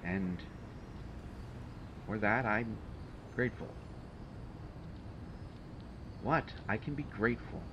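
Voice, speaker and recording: A man's voice: lowish voice, measured pace, lightly acted. A man playing a testy, sardonic supervillain genius in an audio roleplay, speaking in a dry, haughty, hesitant way with exasperation. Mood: grudging gratitude. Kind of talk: intimate audio roleplay monologue to a listener. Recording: dull, noisy home recording.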